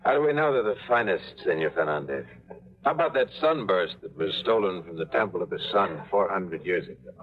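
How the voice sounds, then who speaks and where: An actor playing a dry, wisecracking adventurer in a 1950s radio drama, tinny old broadcast. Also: male voice, deep voice, expressive, a little fast. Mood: probing. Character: wisecracking adult male tour guide adventurer. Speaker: narrator and lead character.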